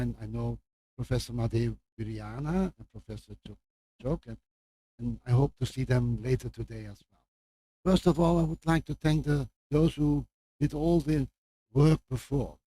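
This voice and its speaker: An older man from Belgium, a native Flemish speaker with a Flemish accent, gives an informal lecture introduction as a visiting professor in a halting way, with repeated words and asides. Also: medium pitch, mellow, slight background noise, poor audio. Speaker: guest lecturer, medical professor.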